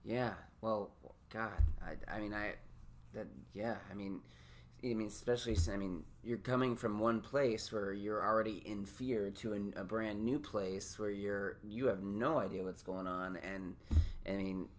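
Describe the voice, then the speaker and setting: A man's voice: on the low side, steady tone, a little slow, a little hiss or hum. A man hosting a podcast, chatting casually with a guest in a rambling, self-deprecating, joking way. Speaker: podcast host. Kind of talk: casual interview chat.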